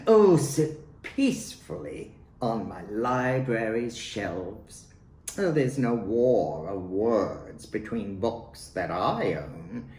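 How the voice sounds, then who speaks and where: A man recites his own poems at a slow, deliberate pace, with theatrical pauses between phrases. His pitch swoops widely from line to line. The room around him is echoey. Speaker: poet reading own work. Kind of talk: dramatic poetry recitation. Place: at home, roomy indoor space. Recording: roomy echo, faint background noise.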